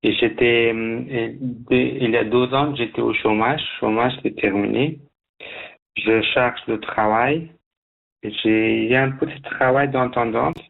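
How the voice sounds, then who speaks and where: A man guesting on a radio show, telling his story haltingly with many restarts, heard over a phone line. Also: mid-range voice, fairly even intonation, creaky. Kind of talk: halting personal account.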